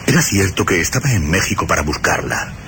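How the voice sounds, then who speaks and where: A man's voice: sits low, animated, brisk. A man narrating a scripted suspense and horror radio story in a solemn, dramatic storytelling manner. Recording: loud storm noise over radio drama. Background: rain and storm ambience.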